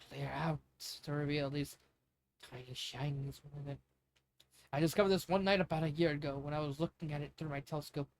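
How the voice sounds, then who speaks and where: A man's voice: fairly high pitch, lightly acted. Man reading as an eager young fantasy stargazer. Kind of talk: amateur fan fiction reading.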